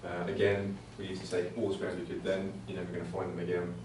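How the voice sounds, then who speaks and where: Low, even-voiced man in a very echoey room. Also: fast. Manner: hesitant, conversational, repeats words. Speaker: presenter at a computing conservation talk. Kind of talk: informal technical presentation with slides. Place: indoor talk venue. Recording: very echoey room, distant mic, faint noise.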